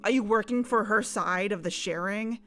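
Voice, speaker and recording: A woman acting as a determined, wary young woman in an audio drama. She speaks earnestly and confrontationally at a medium pitch, in natural conversational style, with faint music behind her. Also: moderate pace, fairly bright tone, lightly acted. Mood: exasperated, accusing.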